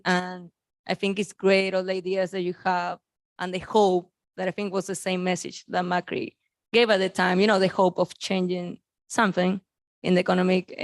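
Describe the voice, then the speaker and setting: A woman's voice: lowish voice, dry recording. A young woman from Argentina in her twenties, asking a speaker questions from the audience, hesitant and full of fillers.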